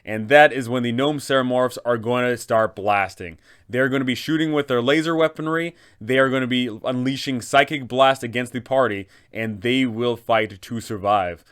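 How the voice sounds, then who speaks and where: A man's voice: mid-range voice, moderate pace, hardly any pauses, a bit bright, clear, resonant tone. A man hosting game-master advice, explaining in an enthusiastic, emphatic, punchy scripted style. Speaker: host of tabletop game advice videos.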